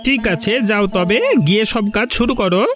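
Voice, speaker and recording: Man playing a high-pitched pompous village landlord. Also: moderate pace, fairly bright tone. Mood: self-satisfied. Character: self-important male village landlord, grudging but obliging. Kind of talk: Bengali moral story cartoon dubbing.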